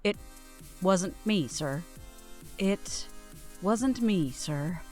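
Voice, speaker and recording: A woman voicing a dutiful junior starship officer with a formal, measured manner in video game dialogue. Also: on the low side, animated, a little mellow, faint music behind. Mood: denying. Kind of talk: scripted game cutscene dialogue, repeated takes.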